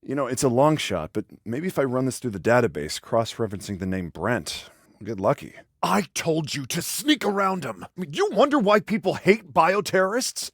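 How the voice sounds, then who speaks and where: An actor playing an exasperated, protective older-brother police officer in a video game, sarcastic and by-the-book, talking with conversational hesitations. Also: male voice, mid-range voice, no echo, clean studio sound. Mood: alarmed, exasperated. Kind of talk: video game voice acting, one side of phone conversations.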